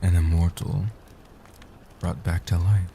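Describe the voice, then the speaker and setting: Man playing a deep, soft-spoken gentle vampire guardian. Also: level tone, gravelly, slight background noise. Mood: intimate, grateful. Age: young adult. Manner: tender, reassuring, slow and deliberate. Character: gentle, devoted centuries-old male vampire guardian. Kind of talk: soft-spoken comfort roleplay audio.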